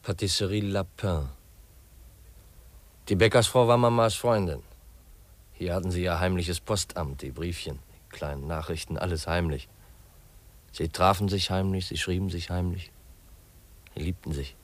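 Guy playing a dreamy polite young orphan, low soft-mannered voice, earnest, 50s german radio drama. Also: moderate pace, pauses often, natural voice. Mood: wistful. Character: polite, wistful orphaned young man, twenty.

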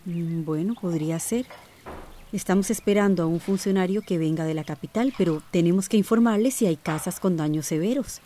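A woman acting in a radio soap as a calm, courteous housing inspector. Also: fairly low pitch, a little fast, slight background noise, lightly acted. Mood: calm, measured.

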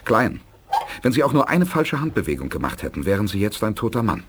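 Male lead for sci-fi radio drama: resolute commander, widely swinging pitch, heavy background noise. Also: very animated, a little fast. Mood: controlled, wary. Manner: authoritative, resolute, clipped orders. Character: commanding, urgent male space-age leader of a new world power. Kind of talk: 1980s German science-fiction radio play acting.

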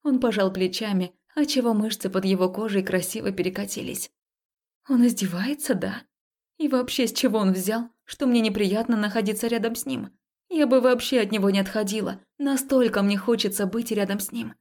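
A woman narrating a first-person romance story as an audiobook, expressive and confessional, acting out the dialogue. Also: mid-range voice, fairly quick.

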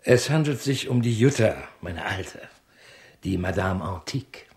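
Shady wheeler-dealer, low-ish voice, very animated, pushy, colloquial, radio play. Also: male voice, a little slow. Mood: scheming. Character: shady male real-estate broker, slick, bossy, scheming. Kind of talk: radio drama acting.

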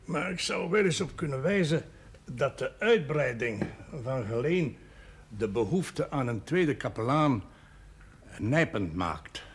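A man acting a wry, cultured senior cleric in a television period drama, speaking in measured, formal and ironic phrases. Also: medium pitch, lively intonation, slightly rough, heavily acted. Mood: ironic, deliberate. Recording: noisy old 1970s television soundtrack.

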